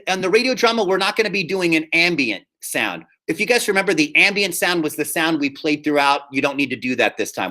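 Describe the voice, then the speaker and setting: Male teacher, slightly high pitch, bright forceful tone, casual instructional talk, upbeat, informal, explanatory. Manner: upbeat, informal, explanatory, often checking with "okay?". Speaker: high school drama teacher. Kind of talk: casual instructional talk to students in an online class.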